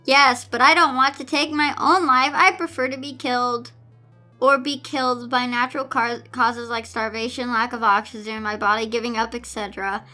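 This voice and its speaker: A woman's voice: a little high, fairly even intonation, normal speed, bright, forceful tone, faint music behind. A woman voicing a depressed, defiant teenage daughter in a storybook reading, blunt and sardonic. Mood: bitter. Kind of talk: amateur storybook-style reading of fan fiction.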